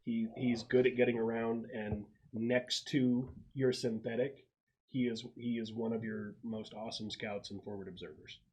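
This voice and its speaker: A man's voice: medium pitch, level tone, moderate pace, darkish tone, low-quality recording. A man narrating a tabletop roleplaying game as game master, describing scenes in a conversational way.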